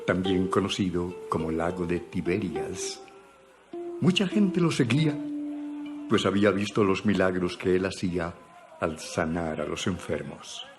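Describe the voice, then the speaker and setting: Very deep-voiced man narrating scripture. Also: animated, a little slow. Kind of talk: scripted dramatized Bible narration. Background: background music.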